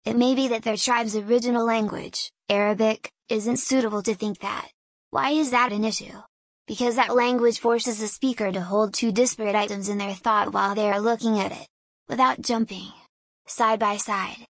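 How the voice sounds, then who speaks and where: A woman who grew up speaking talks in English, in a fairly high voice. She muses in a slow, deliberate, philosophical way and drifts from one thought to the next. The recording is dry, with no room echo. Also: steady tone. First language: Hungarian. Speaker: small business owner. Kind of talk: reflective solo monologue.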